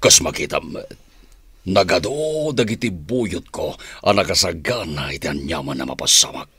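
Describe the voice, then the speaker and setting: A man acting a gloating male crime boss in a radio drama, with a husky voice. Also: deep voice, animated, moderate pace, bright, forceful tone, no room sound, exaggerated voice. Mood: boastful, smug.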